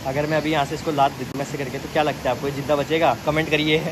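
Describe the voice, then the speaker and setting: Young man, casual travel vlogger, playful teasing, fairly high voice, phone mic outdoors, loud stream noise behind. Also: flat delivery, fast talker. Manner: teasing, playful joking with friends. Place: outdoors on a hillside trail by a stream.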